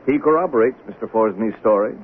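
A man's voice: on the low side, quick pace, natural voice. Tinny old-radio American police sergeant, played by a man. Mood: insistent, skeptical. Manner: probing, insistent, formally polite. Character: persistent, skeptical male police sergeant questioning a suspect. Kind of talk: 1970s radio drama acting. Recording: tinny, band-limited old radio broadcast with hiss.

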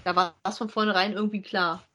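A woman's voice: mid-range voice, flat delivery, quick pace, sharp tone, slight background noise. A woman co-hosting a podcast in casual chat, colloquial, asking questions and agreeing with her partner. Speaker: podcast co-host reviewing audio dramas.

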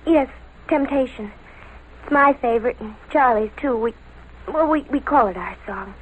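A woman's voice: fairly high pitch, moderate pace, slightly rough, poor audio. A young American woman playing a nervous, lovestruck teenage girl in a 1940s radio drama, hesitant and earnest as she confides. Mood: dreamy, confiding. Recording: old radio broadcast with music behind.

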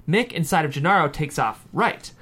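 A man's voice: fairly high pitch, lively intonation, normal speed, a bit bright, breathy edge. A man reading screenplay stage directions aloud at a table read, with dramatic scripted narration.

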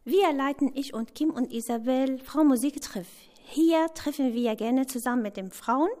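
High-voiced woman. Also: moderate pace, warm tone. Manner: non-native German, simple phrasing. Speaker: music group leader.

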